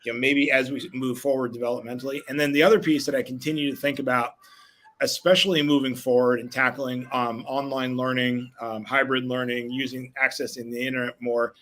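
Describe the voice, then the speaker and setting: A man's voice: medium pitch, a bit bright. A middle-aged man, a physical education teacher, speaking reflectively in a panel discussion and rephrasing as he goes.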